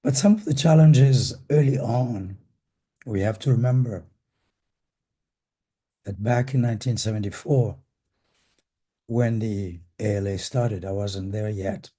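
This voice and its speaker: An older man speaks as a panelist looking back on past events. His voice is very dark and soft-edged, hoarse and raspy from a cold. He goes slowly and deliberately, with halting pauses between phrases. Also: lowish voice. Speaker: panelist, retired professor and former association president. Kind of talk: reflective panel talk recalling history.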